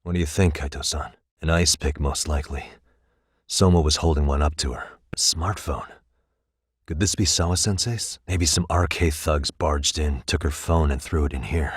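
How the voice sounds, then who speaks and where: A man voicing a sharp, level-headed private detective in a video game, with a dry, matter-of-fact manner. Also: very deep voice, normal speed, breathy edge, no echo. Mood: calm, inquisitive. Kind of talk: English game voice acting, detective dialogue.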